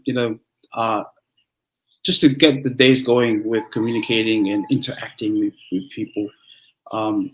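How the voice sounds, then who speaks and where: A young man from Tonga, whose first language is Tongan, speaking as a pastor in a relaxed interview with frequent restarts and fillers. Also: mid-range voice, poor audio. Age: young adult. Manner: halting, many restarts and fillers. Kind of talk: conversational interview.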